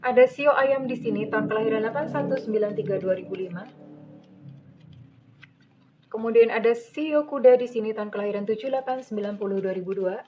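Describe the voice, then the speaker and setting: A woman's voice: a little high, fairly quick, a little mellow, dull audio, a little hiss or hum. A middle-aged woman with a Javanese-influenced accent, giving a soothing tarot reading to her viewers, calling them dear. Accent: Javanese-influenced Indonesian. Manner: soothing, addresses listener as dear, calls herself Bunda. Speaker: tarot reader.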